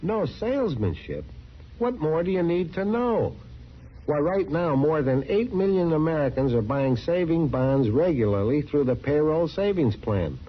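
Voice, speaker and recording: Exasperated husband, animated higher voice. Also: male voice, a little slow. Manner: emphatic, explanatory. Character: earnest, exasperated husband, adult male. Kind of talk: old-time radio advertisement sketch. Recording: muffled vintage radio recording, faint noise.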